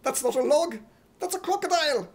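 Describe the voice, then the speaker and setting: A man playing an excitable, blustering, gullible hero with a British accent in a radio comedy, frantic and exclamatory. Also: very high-pitched, a little fast, pitched above the performer's own voice. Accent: imitated British. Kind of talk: 1950s radio comedy acting.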